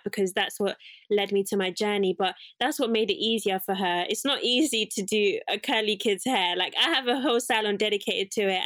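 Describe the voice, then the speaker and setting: A young woman from England talks through her story in a relaxed interview, circling back with fillers and small self-corrections. Her voice sits at a middle pitch, and the recording is close and dry. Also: no echo. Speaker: guest, curly hair salon owner. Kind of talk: casual interview conversation.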